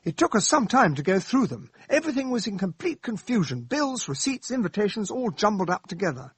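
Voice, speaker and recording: An actor playing a prim, honourable English gentleman sidekick in a radio drama, proper and slightly flustered in manner. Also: high-pitched, very animated, brisk, husky, full character voice. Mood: puzzled.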